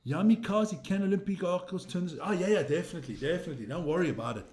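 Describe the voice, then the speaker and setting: A man with a South African English accent talks casually on a live stream, rambling and answering viewers as he goes. His voice sits a bit high with a mellow, soft tone. The room around him is roomy and echoey. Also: fast talker. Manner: rambling, chatty, reading viewer comments aloud. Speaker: livestream host.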